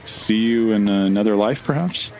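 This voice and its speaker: A man's voice: on the low side, normal speed, a little mellow, slight background noise, close to an everyday voice, poor audio. An actor playing a blunt, sardonic male traveler in an audio drama, talking in a casual way. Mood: wry, resigned.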